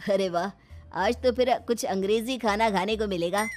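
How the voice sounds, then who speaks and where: A woman's voice: mid-range voice, normal speed, soft background music, natural voice. A woman voicing a kindly middle-aged father-in-law in a cartoon moral story, genial and encouraging. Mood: pleased.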